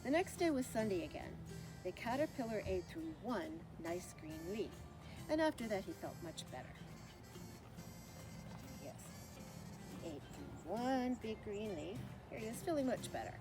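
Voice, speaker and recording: A woman reading a children's story aloud as a naturalist, with simple expressive phrasing and asides that put questions to young listeners. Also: medium pitch, moderate pace, music in the background, poor audio. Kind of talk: children's story time read-aloud with show-and-tell asides.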